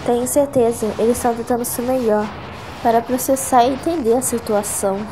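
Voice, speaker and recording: A Brazilian woman voicing an anxious, earnest young female pony in a dramatic animated fan dub. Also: fairly high pitch, brisk, noisy background, lightly acted. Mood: grave, pleading. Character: anxious young female cartoon ponies, pleading and grave. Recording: loud music under the voice.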